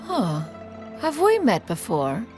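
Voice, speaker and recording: An ancient, aloof immortal master in a video game. Her delivery is grand and haughty, full of archaic formality and lively melodic swings, over faint music. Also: medium pitch, slow. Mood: composed. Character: proud, aloof immortal bird adept, ancient woman master.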